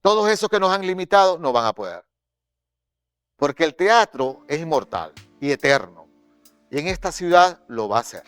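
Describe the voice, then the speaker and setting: A man's voice: fairly high pitch, very animated, normal speed, fairly bright tone, clean tone, no echo, studio quality, soft background music. A man in his sixties with a Maracaibo Venezuelan accent, an actor and director speaking emphatically and with passion in an interview, often repeating phrases for effect. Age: 60s. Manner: impassioned, emphatic, with repetitions for effect. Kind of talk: personal interview testimony.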